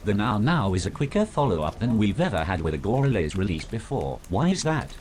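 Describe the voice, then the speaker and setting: A man's voice: lowish voice, very animated, brisk, darkish tone. A man voicing a courteous, inquisitive interviewer bot, reading scripted questions formally.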